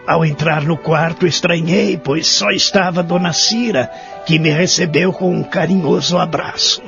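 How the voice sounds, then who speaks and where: A man's voice: a little high, steady tone, normal speed, vocal fry. A man playing a lonely, tearful old man in an audio drama, speaking with a Brazilian accent in an earnest, emotional way. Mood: sorrowful recollection. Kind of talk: audio drama acting and first-person narration. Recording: dull lo-fi audio under music.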